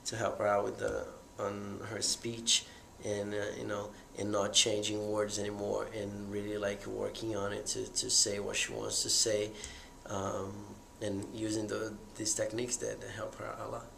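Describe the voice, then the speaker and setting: A young man asking questions in a loose, halting way full of fillers. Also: fairly low pitch, monotone, creaky, sharp tone. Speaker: interviewer. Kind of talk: casual conversation. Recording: echoey room, faint noise, voice away from the mic.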